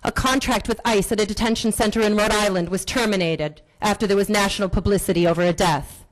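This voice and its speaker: A woman speaking at a county board meeting, delivering an impassioned public comment in forceful, accusatory, repetitive rhetoric. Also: medium pitch, fast talker, rough recording.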